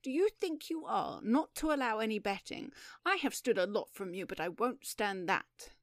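A woman voicing a belligerent, flippant gentleman gambler in an audiobook story, emphatic and defiant. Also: medium pitch, very animated, moderate pace, dry recording, clean studio sound. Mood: defiant, angry.

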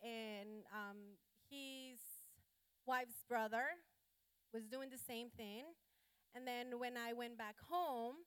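A woman from Colombia, a church guest, giving her personal testimony hesitantly with many pauses. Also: fairly high pitch, fairly bright tone, clean tone, no echo. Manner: hesitant, many ums and restarts.

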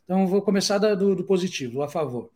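A Brazilian man with a Brazilian Portuguese accent, a product designer guest telling stories in a relaxed interview, with many fillers and restarts. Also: high-pitched, fast. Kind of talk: casual conversational interview.

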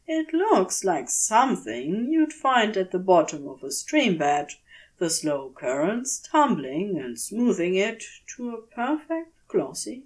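A woman narrates fiction at an unhurried pace. Her intonation moves widely up and down, and her delivery is gentle and deliberate, with soft phrase endings. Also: fairly low pitch. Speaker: audiobook-style fan fiction reader. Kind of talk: expressive scripted story narration.

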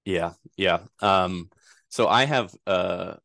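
A man in his thirties from Rhode Island, a guest answering interview questions in a relaxed, rambling way with frequent fillers. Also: low voice, takes it slowly, gravelly, no room sound, clean studio sound. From Rhode Island, USA. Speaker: guest, privacy attorney.

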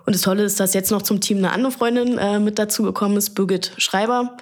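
A woman's voice: mid-range voice, steady tone, fast. Chatty woman in her thirties. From Berlin, Germany. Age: 30s. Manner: chatty, earnest, many fillers. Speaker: photographer and guest. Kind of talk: casual interview talk.

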